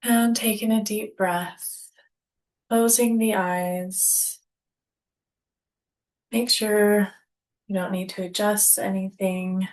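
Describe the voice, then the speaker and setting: A woman leading a guided yoga nidra relaxation as instructor, speaking calmly in short, deliberate phrases with pauses. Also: medium pitch.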